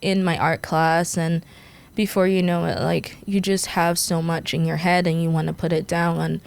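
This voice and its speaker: A woman's voice: lowish voice, steady tone, moderate pace, gravelly, smooth. Chatty young woman from Guyana. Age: young adult. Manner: chatty, laughs often, many fillers. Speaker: podcast guest. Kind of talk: casual interview chat.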